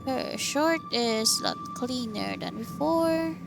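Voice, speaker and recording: A woman's voice: sits high, animated, slow pace, gravelly. A woman giving casual, informal playthrough commentary as she plays a game, remarking mostly to herself. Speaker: gaming streamer. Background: game music.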